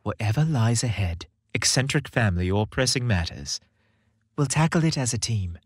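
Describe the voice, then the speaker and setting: A man's voice: mid-range voice, expressive, moderate pace, breathy edge, no room sound, studio quality. A man voicing a devoted, earnest young boyfriend, caring and determined, in a romantic audio story.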